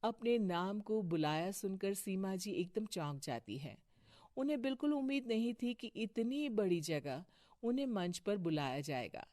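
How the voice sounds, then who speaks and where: A woman's voice: medium pitch, lively intonation, unhurried, mellow, no echo, clean studio sound. A woman narrating a scripted moral story.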